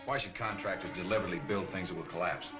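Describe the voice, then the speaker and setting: An actor playing a brisk, wry, self-assured newspaper editor in 1940s film serial style, clipped and authoritative. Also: male voice, deep voice, steady tone, lightly acted. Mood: brisk, commanding. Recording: echoey old film soundtrack, music behind.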